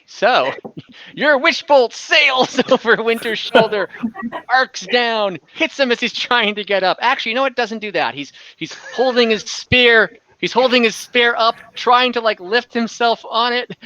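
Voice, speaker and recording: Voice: mid-range voice, measured pace, bright, forceful tone, no echo, muffled recording. A game master narrating and refereeing an online tabletop roleplaying game in a conversational, explanatory way.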